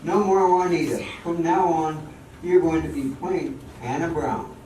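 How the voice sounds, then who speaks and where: A man's voice: on the high side, unhurried, mellow, close to an everyday voice. An older man playing a bossy, hopeful song-and-dance showman, giving emphatic instructions in an amateur radio play. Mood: determined. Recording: echoey hall, distant mic, faint noise.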